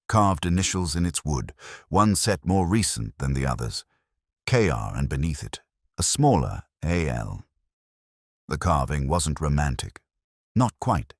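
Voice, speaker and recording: A man reading a first-person mystery story in a measured, reflective way. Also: extremely low pitch, no room sound, clean studio sound. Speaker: narrator.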